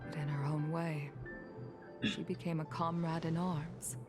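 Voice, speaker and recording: A woman voicing a solemn, sorrowful war veteran in a video game, speaking in a measured, formal way. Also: low-pitched, fairly even intonation. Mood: solemn, wistful. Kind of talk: English video game voice acting. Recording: music behind the voice.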